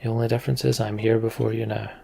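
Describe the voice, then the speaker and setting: A man's voice: lowish voice, level tone, fast talker, creaky, dark tone, husky, full character voice. A man voicing an earnest, plain-spoken clan chieftain in a fantasy game. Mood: firm, earnest.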